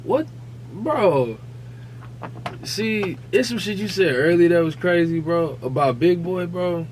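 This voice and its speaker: A man's voice: high-pitched, lively intonation, slow pace, slight background noise, poor audio. An American man co-hosting a casual podcast, talking in a slangy, profane and argumentative manner. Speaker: podcast co-host. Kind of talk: casual chat and debate.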